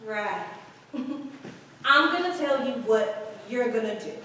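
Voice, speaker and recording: A brash, practical aspiring actress in a stage play. Her voice is high and sharp, with a mocking, emphatic edge. It rings from some distance in a very reverberant room. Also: animated, slow pace, a little hiss or hum. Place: indoor performance space with live stage reading. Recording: echoey hall, distant mic.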